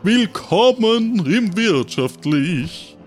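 A man's voice: high voice, big pitch swings, extremely slow, soft background music, pitched above the performer's own voice, full character voice. A man voicing a hospitable tavern keeper in a pirate town, stretching out his words. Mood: welcoming.

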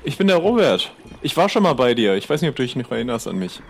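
A man with a markedly creaky, mid-pitched voice plays along in an improvised pirate adventure. He is colloquial and a little hesitant, with faint noise behind him. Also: very animated, quick pace. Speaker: player in an improvised pirate role-play podcast. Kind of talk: casual in-character improvised dialogue.

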